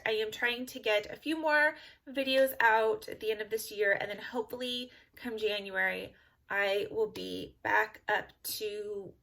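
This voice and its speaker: A woman's voice: medium pitch, creaky, fairly bright tone. Giggly Californian woman vlogging. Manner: chatty, giggly, rambling with fillers. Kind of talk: casual talk to camera.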